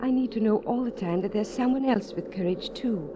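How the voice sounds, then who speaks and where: An actress playing an earnest, secretly desperate married woman climber in a 1940s radio drama, with hushed pleading and dramatic pauses. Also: fairly high pitch, natural voice. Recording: muffled, noisy 1940s radio recording.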